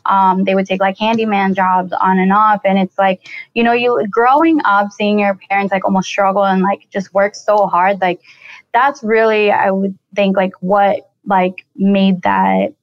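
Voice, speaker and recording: A woman's voice: medium pitch, fairly even intonation, measured pace, no room sound, lo-fi sound. A woman in her twenties from Colombia, a guest on an interview podcast, telling her story in a casual, chatty way full of "like" and "you know". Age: 20s. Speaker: podcast guest, real estate investor.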